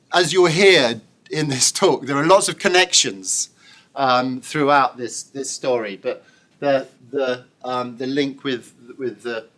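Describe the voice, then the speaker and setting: A middle-aged man giving a thoughtful public lecture, pausing often with fillers and restarts. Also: mid-range voice, a bit bright. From born and raised in Congo. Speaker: professor giving an inaugural lecture. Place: lecture hall.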